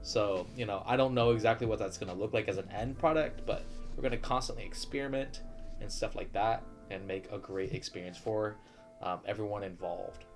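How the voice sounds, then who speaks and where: Young male co-host, low voice, laid-back and trailing, faint music under. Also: fairly quick. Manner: low-key, trailing off, filler-heavy. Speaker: podcast co-host. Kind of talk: casual video-call podcast chat.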